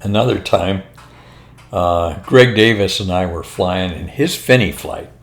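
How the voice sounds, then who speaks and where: An older American man, a former pilot, telling anecdotes in a casual, unhurried, rambling conversational way. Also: deep voice. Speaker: retired military and airline pilot telling stories. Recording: roomy, echoing room sound.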